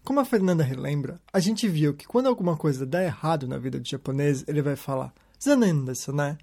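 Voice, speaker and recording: Mellow-voiced male podcast narrator. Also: on the high side, lively intonation, fairly quick, creaky. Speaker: podcast host. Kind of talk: scripted narration. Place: lives in Japan.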